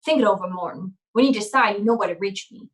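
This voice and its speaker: A woman's voice: medium pitch, fast talker, close to an everyday voice. A woman playing a cynical, wry private eye, curt and hardboiled, in an old-time radio noir drama. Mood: cold, hard bargaining.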